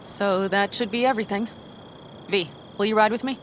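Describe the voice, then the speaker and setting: A woman playing a determined young nomad in a video game, brisk and commanding as she plans tactics. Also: medium pitch, fairly even intonation, a little hiss or hum, close to an everyday voice. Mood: businesslike.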